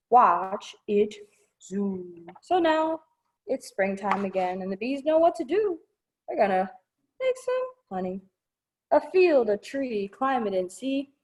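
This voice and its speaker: Woman reading aloud with a very animated voice. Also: on the high side. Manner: expressive, playful, sing-song reading of rhymes. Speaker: children's storytime reader at a public library. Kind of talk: read-aloud picture book with simple explanatory asides for children.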